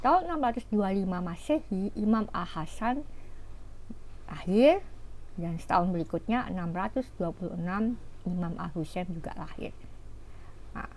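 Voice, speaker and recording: A woman's voice: lowish voice, animated, normal speed, long pauses, darkish tone, a little hiss or hum, low-quality recording. A woman giving an informal history talk, explaining in a conversational way with rhetorical asides. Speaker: history storyteller. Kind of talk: informal spoken history lecture.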